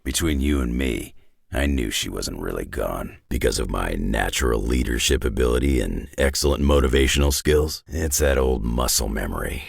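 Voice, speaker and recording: A battle-hardened mutant brawler for a video game, growling from very deep in a husky rasp. He is gruff and cocky, swinging his pitch in dry, sardonic jabs. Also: animated, normal speed, no echo, full character voice. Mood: wry, low-key. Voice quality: gravelly, raspy growl. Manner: gruff, dry, sardonic tough-guy delivery. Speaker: video game character voice actor.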